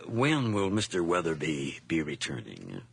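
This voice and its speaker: An actor playing a stolid night watch officer in an old radio drama, questioning in a measured, official way. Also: male voice, extremely low pitch, huge pitch swings, creaky, husky, heavily acted. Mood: sociable, relaxed.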